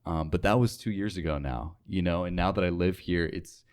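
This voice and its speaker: A young American man with a very low voice speaks in a casual interview. He is earnest and reflective, leaning on colloquial filler as he thinks things through. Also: brisk. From North Dakota, United States. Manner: informal, reflective, earnest, with frequent "like". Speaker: interview guest, radio sales associate.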